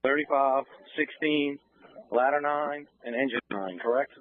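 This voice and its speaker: A man's voice: medium pitch, unhurried. A man working as a fire command officer, giving informal instructions over two-way radio. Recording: phone-like fire scanner radio audio.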